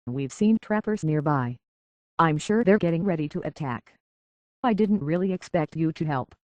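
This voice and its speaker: An actor playing a gruff, wary, weary but defiant island local in a video game, blunt and folksy in manner. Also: medium pitch, expressive, normal speed, a little mellow, no room sound, natural voice. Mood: businesslike, resolute. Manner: blunt, folksy, suspicious of outsiders. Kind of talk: video game character acting.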